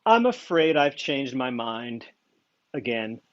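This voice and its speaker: Man as slow, teasing starship lieutenant, muffled sound. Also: medium pitch, very animated. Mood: teasing, defiant. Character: male starship lieutenant, coolly flirtatious and defiant. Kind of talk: science fiction audio drama acting.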